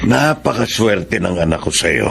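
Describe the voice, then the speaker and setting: An actor playing a caring older father, gently advising, in a Filipino radio drama. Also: deep voice, expressive, measured pace, creaky, noisy background, full character voice. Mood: gentle, apologetic.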